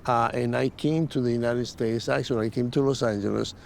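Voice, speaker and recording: Older guy from mexico, creaky voice, reminiscing kinda haltingly, slight rumble behind. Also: fairly even intonation, a little mellow. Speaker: interview guest, former soccer player. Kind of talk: casual reminiscence. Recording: faint rumbling background noise.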